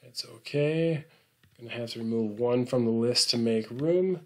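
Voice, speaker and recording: A man presenting an unscripted software tutorial, explaining things informally step by step. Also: mid-range voice, a little slow, a little hiss or hum.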